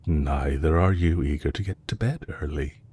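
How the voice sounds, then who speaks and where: A man playing a composed, courteous villain leader in an audio roleplay, speaking in a measured, formal way to a captive he is fond of. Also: rumbling low, warm tone, husky, exaggerated voice. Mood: probing, courteous.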